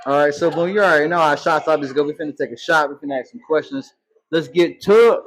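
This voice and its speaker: Guy hosting an interview, voice a bit high, rambly slangy questions. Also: fast, fairly bright tone, low-quality recording. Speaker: host. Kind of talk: casual interview.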